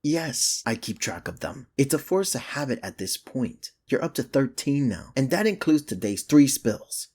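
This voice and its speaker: A man's voice: medium pitch, big pitch swings, normal speed, hardly any pauses, darkish tone, slightly rough. A man playing a sardonic but secretly caring office rival in an intimate ASMR romance roleplay, with dry, earnest delivery. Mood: teasing. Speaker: ASMR voice actor.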